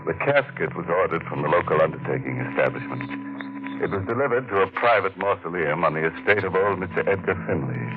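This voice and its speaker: A man's voice: low-pitched, lively intonation, fairly quick, music in the background. An actor playing a sly, ghoulish male horror host, delivering morbid puns in 1940s radio acting. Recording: tinny old radio broadcast.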